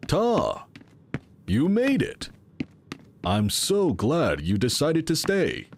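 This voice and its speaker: A man's voice: fairly high pitch, huge pitch swings, a little hiss or hum, exaggerated voice. An actor playing a welcoming, solicitous male leader of a futuristic organization in a sci-fi audio drama. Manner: unhurried, solicitous.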